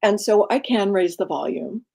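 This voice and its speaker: A middle-aged woman executive coach with a soft, breathy, laid-back voice, speaking calmly in an interview. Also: mid-range voice. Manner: calm, unhurried, laid-back. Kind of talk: conversational interview.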